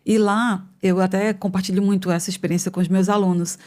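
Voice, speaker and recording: A woman in her fifties from northern Brazil speaks as a podcast guest, thoughtful and unhurried, with a middle-pitched voice. She gathers her ideas aloud, pausing and adding small tag questions as she explains her path into academia. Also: steady tone, a little mellow. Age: 50s. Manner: thoughtful, measured, frequent hesitations and tag questions. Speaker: economics professor, podcast guest. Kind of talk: conversational interview answers. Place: podcast studio.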